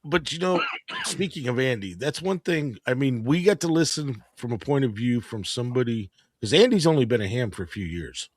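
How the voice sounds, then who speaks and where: A man in his fifties chats on a ham radio livestream, stopping and restarting and repeating words as he talks. His pitch sits in the middle of the male range, and the recording is dry and close. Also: expressive, fairly quick, hardly any pauses, dry recording. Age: 50s. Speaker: ham radio guest on stream. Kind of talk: casual group chat on a livestream.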